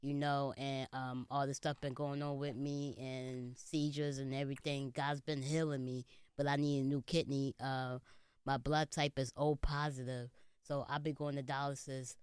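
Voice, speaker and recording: A man's voice: mid-range voice, little pitch movement, normal speed, clear, resonant tone, no echo, studio quality. A man in his late twenties, a comedian guest in a casual interview, talking earnestly and often saying "you know". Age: 20s. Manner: earnest, speaks of faith, many "you know" fillers.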